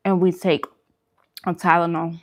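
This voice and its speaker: A woman's voice: on the low side, little pitch movement, measured pace. A woman hosting a food vlog, chatting casually to viewers in colloquial slang and repeating phrases for emphasis. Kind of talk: casual chat to viewers during a mukbang livestream.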